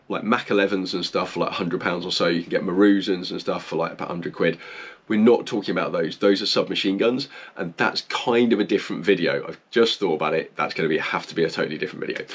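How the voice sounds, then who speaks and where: A man talks to camera in a low, slightly husky voice, congested and nasal from a heavy cold. He chats enthusiastically and loosely, with plenty of casual fillers as he reviews his kit. The tone is relaxed and personable. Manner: chatty and enthusiastic, many "like" fillers. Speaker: airsoft channel host.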